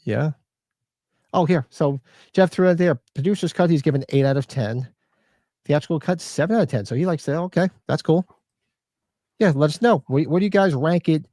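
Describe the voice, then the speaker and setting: Laid-back man, mellow voice. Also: a little high, moderate pace, pauses often, dry recording, clean studio sound. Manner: loose, joking, reads chat comments aloud. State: losing his voice. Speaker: podcast co-host. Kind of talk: casual live-stream chat.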